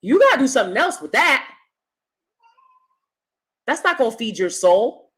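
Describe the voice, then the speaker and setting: A woman with a loud, projecting stage voice, giving emphatic opinion commentary as a vlogger and swinging between loud points and soft asides. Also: a little high, very animated, normal speed.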